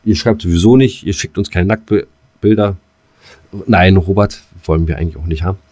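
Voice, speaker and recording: A man's voice: low voice, normal speed, darkish tone, no echo. A man co-hosting a podcast, chatting in a casual, colloquial way with cheeky, teasing jokes. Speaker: podcast co-host. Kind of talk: casual joking banter.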